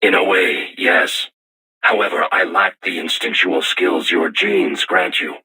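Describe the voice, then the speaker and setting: A man voicing a solemn self-aware robot war machine in a video game, with formal, measured, stilted diction and robotic processing on the voice. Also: low-pitched, steady tone, sharp tone, breathy edge, exaggerated voice. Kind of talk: scripted video game voice acting.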